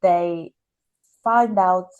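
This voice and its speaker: A woman's voice: lowish voice, extremely slow, a little mellow, dull audio. A woman with a Slovak accent hosting a casual interview podcast, keen and full of eager interjections. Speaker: podcast host.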